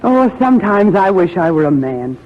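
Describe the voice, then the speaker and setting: A woman's voice: on the low side, big pitch swings, moderate pace. An actress playing an earnest, genteel young colonial plantation lady in a 1940s radio drama. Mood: wistful, earnest. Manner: genteel, refined phrasing. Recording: tinny narrow-band 1940s radio recording.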